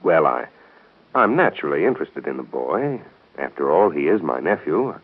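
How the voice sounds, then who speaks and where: An actor in a 1930s radio soap opera playing a courteous, thoughtful gentleman who speaks in an earnest, measured way. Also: a bass voice, very animated, slightly rough, phone-quality sound, exaggerated voice. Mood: concerned. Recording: band-limited scratchy 1930s radio broadcast.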